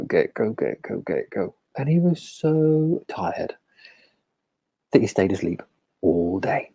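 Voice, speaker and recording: A British man tells a nature story in a gentle, unhurried storyteller's manner. His voice is mellow and slightly husky. The sound is dry and close, as on a video call. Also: fairly low pitch, dry recording. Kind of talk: oral storytelling.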